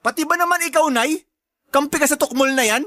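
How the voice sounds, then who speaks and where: High-voiced, sneering older brother. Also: male voice, quick pace, sharp tone, no echo, studio quality. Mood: indignant. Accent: Filipino. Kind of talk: Tagalog radio drama acting.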